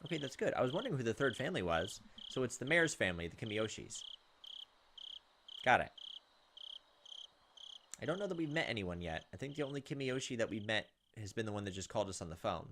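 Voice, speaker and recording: Fast-talking man reading a game aloud. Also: lowish voice, big pitch swings, a bit bright, slight background noise. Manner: pauses to comment on the text. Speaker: let's play host reading a visual novel aloud. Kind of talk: read-aloud narration with casual commentary.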